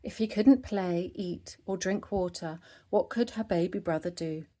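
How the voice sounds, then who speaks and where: A woman reading a children's story aloud, with expressive narration phrased for young listeners. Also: lowish voice, measured pace. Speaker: storybook reader.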